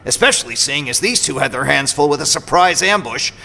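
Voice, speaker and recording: Gravelly, suspicious mercenary pilot. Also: male voice, on the high side, lively intonation, a little fast, sharp tone, heavily acted. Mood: irritated, confrontational. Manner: sardonic, confrontational. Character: gruff, suspicious, irritable male mercenary robot pilot. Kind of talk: audio drama acting.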